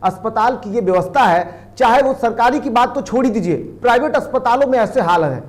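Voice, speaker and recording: A man's voice: very high voice, expressive, a little fast. A man anchoring the news, commenting on a report in an emphatic, wordy, repetitive way.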